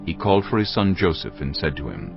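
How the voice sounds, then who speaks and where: Deep creaky guy narrating the bible, lively intonation, soft music behind, bit muffled. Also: fast. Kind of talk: dramatized scripture narration. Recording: dull lo-fi audio. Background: faint music.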